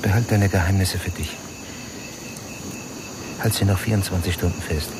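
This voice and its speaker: An actor playing a guarded, bitter lover in a German radio crime drama, speaking bluntly and wearily. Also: male voice, low voice, fairly even intonation, normal speed, very noisy, natural voice. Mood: weary, bitter. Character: Mark, guarded, bitter married lover, coolly accusing.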